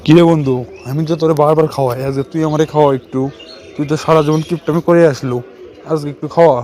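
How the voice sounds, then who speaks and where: A man's voice: on the high side, steady tone, fast, darkish tone, lightly acted. A man with a northern Bangladeshi accent playing a cheeky, grumbling young friend in an amateur cartoon. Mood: nagging. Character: cheeky young man nagging his stingy friend for treats.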